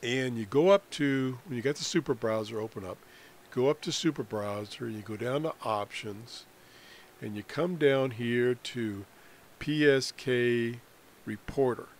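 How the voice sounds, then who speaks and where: Slow-talking man giving a tutorial. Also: mid-range voice, slight background noise. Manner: halting, explanatory, pausing mid-sentence. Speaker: amateur radio hobbyist presenting a tutorial. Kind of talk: unscripted software screencast tutorial.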